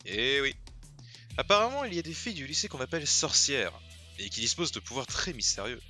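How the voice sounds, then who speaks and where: A man playing a smug, self-assured teenage high school rival in a French anime fan dub. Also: sing-song, brisk, sharp tone, soft background music. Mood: knowing.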